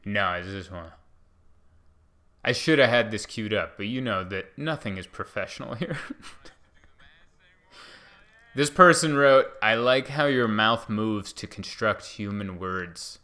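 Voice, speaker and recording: A man's voice: fairly low pitch, measured pace, pauses often, sharp tone. A man in his thirties, born in Hungary and speaking English as a second language, chatting casually with a slightly slurred, rambling diction and no foreign accent. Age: 30s. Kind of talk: casual chat.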